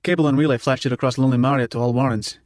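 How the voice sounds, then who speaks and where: A man's voice: mid-range voice, fairly even intonation, rapid-fire, darkish tone, no echo. Male audiobook narrator, close dry sound. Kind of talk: scripted first-person novel narration.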